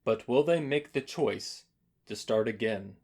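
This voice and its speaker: A male announcer delivers scripted radio play introductions and credits. His voice sits at a middle pitch, and his delivery is polished and deliberate. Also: vocal fry, darkish tone, breathy edge.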